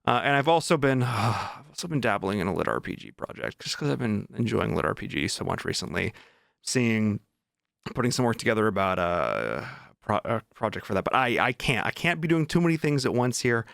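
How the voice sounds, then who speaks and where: A man's voice: on the low side, creaky, a bit bright, no echo, clean studio sound. A man chatting casually as a vlog host, informal and enthusiastic about his writing. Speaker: vlog host and indie author.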